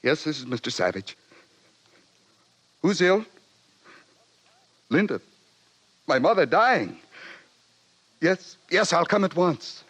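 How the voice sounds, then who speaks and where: An actor playing an earnest, tormented young outsider who speaks in poetic, theatrical phrases in an old radio drama. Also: male voice, fairly high pitch, sing-song, takes it slowly, long pauses, fairly bright tone, heavily acted. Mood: anxious, grieving. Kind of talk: 1950s radio drama acting. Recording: vintage radio drama recording.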